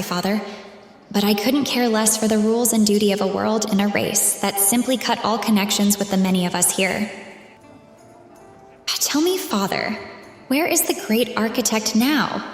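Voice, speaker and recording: A woman's voice: medium pitch, lightly acted. A woman playing a proud, fierce goddess daughter, firm and indignant as she declaims in an audio drama. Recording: echoey hall with long reverb, faint music.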